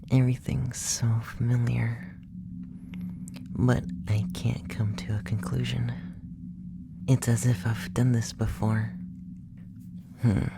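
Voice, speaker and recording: Male actor as alien abductor, ASMR roleplay, hushed half-whisper, creaky low-key murmur, curious, condescending, close mic, noisy ambience. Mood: puzzled, musing.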